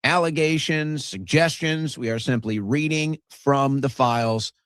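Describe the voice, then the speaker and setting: A man with a mid-pitched voice presents documents, reading them out in a measured, formal way. He drops in short asides as host, and the sound is close and dry. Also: fairly bright tone, clean tone, no room sound. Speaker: show host.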